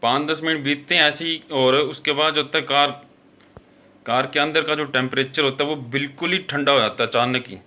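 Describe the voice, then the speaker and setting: A man's voice: a little high, steady tone, fairly quick, sharp tone. A man narrating a horror story as a show host, in an informal, conversational way with frequent fillers and self-corrections.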